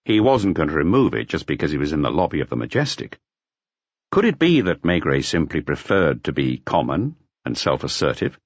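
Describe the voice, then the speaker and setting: A man with a low voice reads a mystery audiobook. His delivery is measured but expressive, moving widely in pitch, and the recording is dry and slightly dull. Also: dry recording. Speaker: audiobook narrator. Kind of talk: scripted mystery novel narration.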